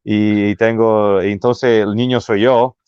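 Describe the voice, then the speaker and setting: A man's voice: on the low side, steady tone, smooth, no echo. A man from Italy with an Italian accent, speaking Spanish as a guest and often stopping to search for words. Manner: halting, searching for words, restarts. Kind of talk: casual interview chat. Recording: dull, muffled audio.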